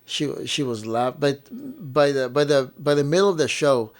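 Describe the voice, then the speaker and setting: A young man with an average-pitched voice shares stories in a casual, conversational way. He talks like a parent co-hosting a family show. He often circles back to repeat or rephrase what he just said for emphasis. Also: normal speed.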